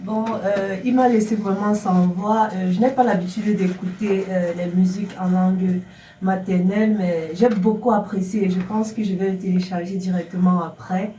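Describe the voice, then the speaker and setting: A woman studio guest giving her impressions, measured and hesitant with fillers. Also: mid-range voice, fairly even intonation, warm tone, recorded from a distance. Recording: echoey room, from afar, faint noise.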